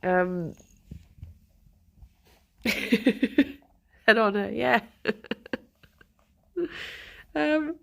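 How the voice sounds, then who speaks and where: A woman's voice: fairly high pitch, animated, extremely slow, gravelly, long pauses. A woman with a blocked, congested nose, streaming from home, chatting casually and greeting her viewers by name. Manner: chatty, greets viewers by name, giggly, soft baby talk to pets. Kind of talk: casual morning stream chat.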